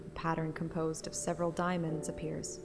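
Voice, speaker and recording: A woman's voice: low voice, completely flat delivery, fairly quick, gravelly, long reverb tail, music in the background. A woman narrating a fantasy romance game story in an audiobook style. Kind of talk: audiobook narration with acted dialogue.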